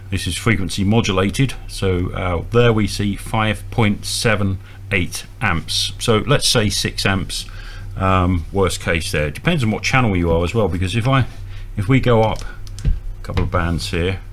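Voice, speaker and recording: A man presenting an informal, hands-on equipment demonstration, explaining step by step with a hesitant delivery. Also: low-pitched. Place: indoors at a small equipment bench. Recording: audible electrical hum and noise.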